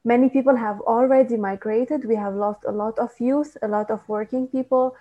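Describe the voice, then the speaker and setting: A woman's voice: a little high, steady tone, normal speed, muffled recording. A woman from Lebanon with a Lebanese accent, a native Arabic speaker, answering interview questions earnestly and with some hesitation over a video call. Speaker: student ministry coordinator.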